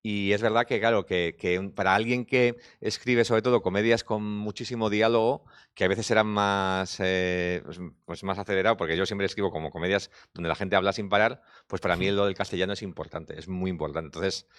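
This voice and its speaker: A rapid, talkative man with a fairly low voice, answering festival press questions about his work. The sound is close and dry with no room around it. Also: steady tone, a bit bright, smooth, no echo. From Basque Country. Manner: fast, talkative, thinks aloud with restarts. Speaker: film director.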